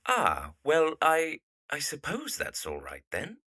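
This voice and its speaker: A man playing a polite, diffident young gentleman in a period audio drama, speaking hesitantly and courteously. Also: a little high, wildly swooping pitch, slow, slightly rough, full character voice. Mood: polite, unsure.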